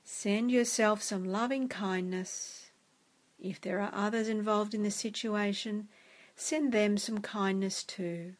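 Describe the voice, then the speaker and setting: A woman guiding a meditation in a soft, gentle, soothing way. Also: mid-range voice. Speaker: meditation guide. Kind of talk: guided meditation narration.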